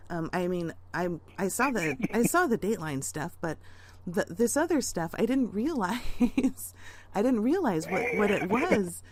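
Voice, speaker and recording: A woman caller chatting casually on the phone, halting and amused, with a giggly way of talking. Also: mid-range voice, sing-song, a little hiss or hum.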